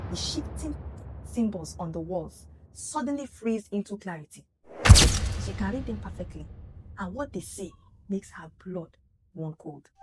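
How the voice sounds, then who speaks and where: A woman's voice: medium pitch, low-quality recording. A woman narrating a scripted story dramatically, emphatic and speaking straight to the listener.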